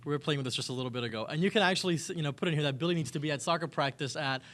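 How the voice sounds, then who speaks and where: A man's voice: a little high, very fast, fairly bright tone. A man reporting live for a morning TV show, chatting enthusiastically as he demonstrates things. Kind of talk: live TV segment, chatty product demo.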